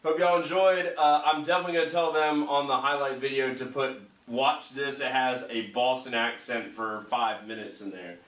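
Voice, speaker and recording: A man's voice: medium pitch, little pitch movement, moderate pace, hardly any pauses, fairly bright tone. A man hosting a casual sports talk show in a laid-back, amused way. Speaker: podcast host. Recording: echoey room, far from mic.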